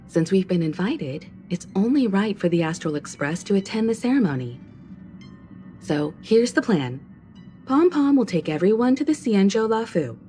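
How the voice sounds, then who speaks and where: A woman voicing a poised, mature crew leader who speaks calmly and with gentle authority in a game cutscene. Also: medium pitch, normal speed, lightly acted. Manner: calm, composed, gently authoritative. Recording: game cutscene with faint music.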